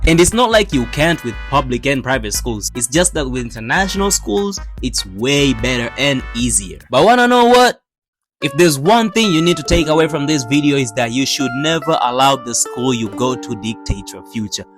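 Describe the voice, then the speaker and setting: A man's voice: mid-range voice, animated, moderate pace, a bit bright. A young man from Tanzania, narrating casually in a slangy, joking, conversational way. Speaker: YouTube storyteller. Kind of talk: casual scripted narration for animated video. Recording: background music under the voice. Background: music.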